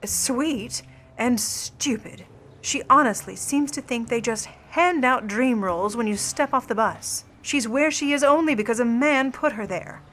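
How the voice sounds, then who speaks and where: A woman's voice: a little high, measured pace, fairly bright tone, slight background noise. A woman as a cool, haughty scheming starlet. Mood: bitter, proud. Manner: haughty, controlled, cutting formal delivery. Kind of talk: old-time noir radio play acting.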